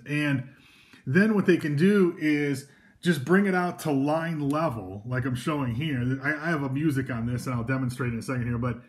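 A man giving a casual, loose product demonstration indoors, talking from behind a handheld camera with many fillers and restarts. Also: medium pitch. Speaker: equipment demonstrator. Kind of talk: informal product demo. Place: indoors at a table of devices.

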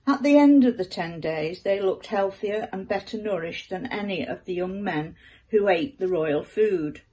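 A woman's voice: medium pitch, a little slow, warm tone, slight background noise, low-quality recording. Older woman reading scripture aloud. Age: older, about 70s. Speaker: scripture reader. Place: living room at home.

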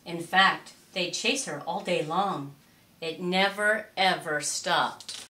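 A middle-aged woman reading a children's storybook expressively, with deliberate, theatrical emphasis in a roomy space. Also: on the low side, sharp tone. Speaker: storytime reader. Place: indoors, curtained room or small stage. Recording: roomy echo on voice.

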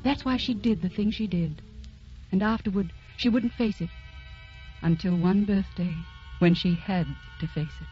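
Mid-pitched woman, actress as dreamy romance spirit, tender scripted narration, dull old radio sound, faint music. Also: normal speed, lightly acted. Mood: tender, storytelling. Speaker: narrator and host.

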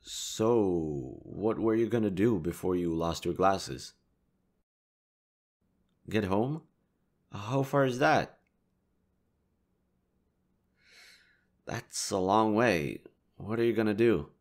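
A man playing a kind, reassuring young stranger in an intimate audio roleplay, speaking gently, considerately and a little hesitantly. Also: fairly low pitch, expressive, slow, pauses often. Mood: concerned, curious. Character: kind, reassuring young male stranger helping a distressed girl.

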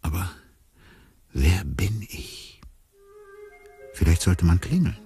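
Very deep, slow, bewildered amnesiac man. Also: big pitch swings, mellow, soft background music, full character voice. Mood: musing, bewildered. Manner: deliberate, musing, wry. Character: bewildered amnesiac man narrating his inner thoughts. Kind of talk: radio drama interior monologue.

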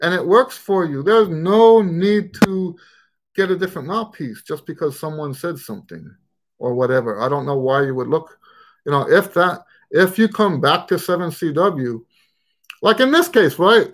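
A man's voice: on the high side, expressive, moderate pace. A middle-aged man, a trumpet teacher, answering questions in a casual live stream from his living room on a webcam, rambling and restarting his sentences. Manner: rambling, frequent restarts and stammered repeats. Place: living room at home, indoors. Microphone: webcam at desk distance.

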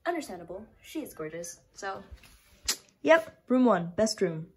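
A woman's voice: medium pitch, sing-song, a little slow, loud music behind the voice. A young woman from Indonesia chatting casually and with youthful, bubbly informality as she films a room-tour vlog. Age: young adult. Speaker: vlogger. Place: small furnished dorm rooms and bathroom.

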